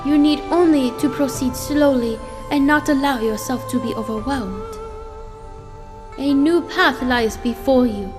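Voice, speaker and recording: Gentle spirit mother, played by a woman, high register, serene and formal, audio drama with music behind. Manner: formal, soothing, solemn phrasing. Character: gentle, serene spirit mother comforting her grown son. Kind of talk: fan comic audio drama acting.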